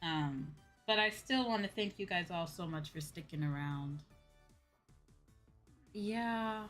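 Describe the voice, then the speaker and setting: A young woman chatting casually to viewers on a livestream in an expressive, rambling, self-deprecating way. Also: low-pitched. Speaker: VTuber streamer. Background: faint background music.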